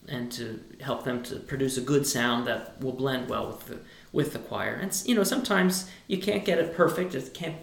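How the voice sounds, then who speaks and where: Choir director, male tenor, talks in loose rambling answers, roomy sound. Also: vocal fry. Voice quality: high tenor speaking voice. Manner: thoughtful, rambling with restarts. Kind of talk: relaxed interview answers.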